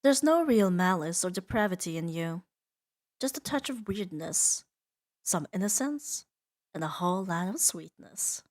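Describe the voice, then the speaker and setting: Slow, teasing demon mistress, played by a woman. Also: fairly low pitch, expressive. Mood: confiding, fond. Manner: slow, teasing, soothing, softly dominant. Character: seductive, possessive female demon doting on her new pet. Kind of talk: intimate ASMR roleplay monologue.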